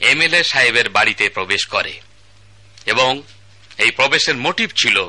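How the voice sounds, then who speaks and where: An actor playing a stern magistrate who reads out the charges and the sentence in formal, old-fashioned court language. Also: male voice, mid-range voice, lively intonation, moderate pace, sharp tone. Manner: formal, archaic court diction, calls order. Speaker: judge. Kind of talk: Bangladeshi state radio drama acting.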